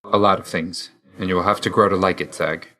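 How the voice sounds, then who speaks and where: Gloomy young death god from a video game, low deep voice, reserved n dry, kinda formal and measured. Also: male voice, steady tone, bright, forceful tone. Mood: guarded, tender. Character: Thanatos, brooding young male god of death. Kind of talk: video game character dialogue.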